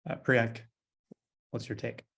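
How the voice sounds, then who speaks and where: A man's voice: medium pitch, level tone, slow, vocal fry, fairly bright tone. A man hosting a podcast, leading the discussion in a hesitant way with many fillers. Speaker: podcast host. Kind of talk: casual discussion.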